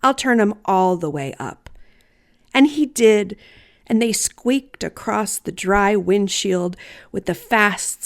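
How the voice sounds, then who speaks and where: Woman reading her own story out loud, super slow n deliberate, dramatic, kinda wry. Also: medium pitch. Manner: deliberate, theatrical delivery with wry humor. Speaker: writer and storyteller. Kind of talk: reading a personal story aloud, dramatic scripted narration.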